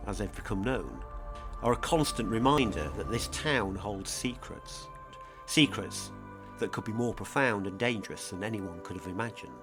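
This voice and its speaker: A man narrating a scripted true-crime mystery in a measured, dramatic, portentous manner. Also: fairly low pitch. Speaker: narrator, host.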